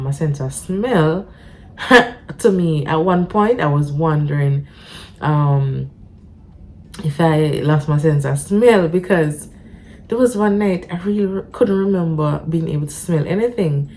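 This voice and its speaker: Jamaican woman, low-pitched and congested, halting casual delivery to camera. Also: a little mellow, poor audio. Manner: halting, with frequent restarts and fillers. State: stuffy, congested. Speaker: vlogger. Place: at home.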